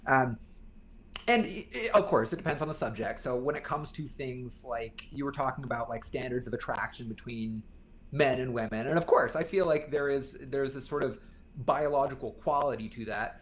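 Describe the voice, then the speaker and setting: Male guest, young adult, mid-pitched voice, reflective and conversational, qualifies each idea, slight background noise. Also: normal speed. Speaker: podcast guest, artist. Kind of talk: casual thoughtful discussion.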